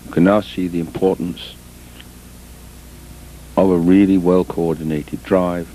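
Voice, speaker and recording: A man giving measured, deliberate instructional sports commentary as a coach, in short phrases broken by pauses, on an old VHS tape recording. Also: dark tone. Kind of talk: instructional film commentary over footage. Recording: noisy, muffled old VHS tape, rumble.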